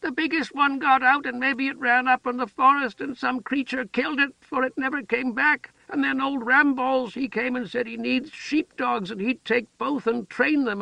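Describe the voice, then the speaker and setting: A man voicing an excitable, childlike village woman who shouts and chatters eagerly. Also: very high-pitched, creaky, no room sound, pitched above the performer's own voice, exaggerated voice. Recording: dull lo-fi dry recording.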